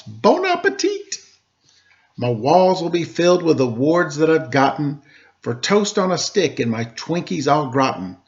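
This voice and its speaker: A man voicing an excitable, boastful schoolboy in a storybook, with a grandiose, theatrical manner. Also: a little high. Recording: dull lo-fi sound.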